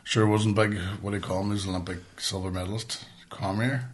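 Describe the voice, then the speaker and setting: Blunt, slightly husky Northern Irish man. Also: fairly low pitch, flat delivery, a little fast. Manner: blunt, sweary, emphatic. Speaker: podcast host. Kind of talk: casual banter, animated storytelling.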